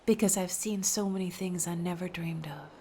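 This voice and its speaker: A mournful spirit risen from the sea murmurs in a low, breathy whisper, as a woman reading a story puts her on. Her words drift out slow and despairing, barely above a breath. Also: a little hiss or hum, close to an everyday voice. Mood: sad whisper. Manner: hushed whisper. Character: sorrowful ghostly sea-woman, soft and sad.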